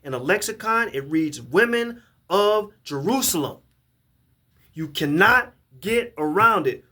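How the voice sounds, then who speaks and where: A man's voice: high-pitched, animated, unhurried, sharp tone. A man giving a scripture lesson to a camera, emphatic and often repeating and restarting his words as he reads verses aloud. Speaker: Bible teacher.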